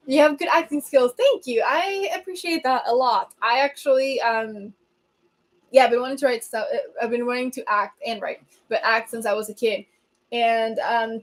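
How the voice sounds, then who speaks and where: A young woman from Venezuela, a native Spanish speaker, hosting a livestream and chatting casually as she answers comments, laughing often and filling pauses with um. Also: fairly high pitch. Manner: chatty, laughs often, many ums and restarts. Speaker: livestream host.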